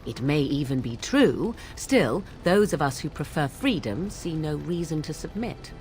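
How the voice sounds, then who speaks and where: A woman's voice: low voice, lively intonation, measured pace, darkish tone. An actress playing a sly young witch who teases in a wry, archly formal way, with game music behind her. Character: sly young female witch, mocking and aloof.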